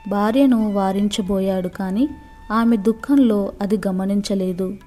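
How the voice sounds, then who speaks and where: A woman's voice: medium pitch, steady tone, a little slow, warm tone, faint music behind. A woman storyteller reading a scripted moral story for children.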